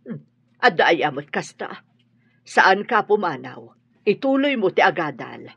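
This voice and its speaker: A husky, anxious old grandmother in a radio play, as a woman acts her out, her voice rising and falling as she pleads. The audio is dull and lo-fi. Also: lowish voice, sing-song, unhurried, a bit bright, no room sound, heavily acted. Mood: worried. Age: older. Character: worried elderly grandmother and mother. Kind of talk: radio drama acting.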